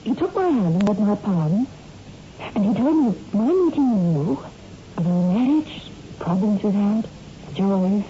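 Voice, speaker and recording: An actress playing a worried, pleading wife in a 1960s radio horror drama, earnest and dramatic. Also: female voice, medium pitch, expressive, measured pace, warm tone, close to an everyday voice. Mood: weary. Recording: muffled, noisy old radio recording.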